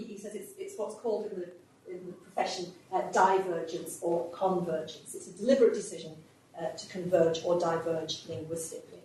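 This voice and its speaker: A woman lecturing on history in a hall, pausing on frequent ums and repeating herself as she goes. Her voice rings around the room and sounds a good distance from the microphone, with a faint hiss underneath. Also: lowish voice, mellow. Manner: hesitant, many fillers and restarts. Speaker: history professor giving a lecture. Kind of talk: public lecture with interview clips.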